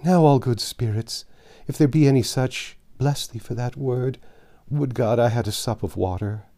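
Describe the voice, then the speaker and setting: A man's voice: medium pitch, moderate pace, mellow, close to an everyday voice. Casting: male narrator as gaunt dying peasant wife, storybook tale, archaic and fervent, full of bitter grief. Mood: grateful, pleading. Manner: impassioned archaic speech.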